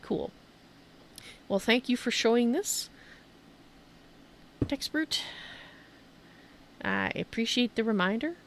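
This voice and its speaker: Creaky-voiced woman giving casual game commentary. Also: on the high side, lively intonation, takes it slowly, pauses often, slight background noise. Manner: rambling, thinking aloud. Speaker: streamer hosting a video game tour.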